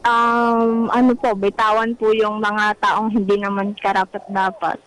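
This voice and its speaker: A woman's voice: medium pitch, flat delivery, measured pace, a bit bright, slight background noise, poor audio. A woman guest answering interview questions about her own life, politely and with some hesitation. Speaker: guest telling their own love story.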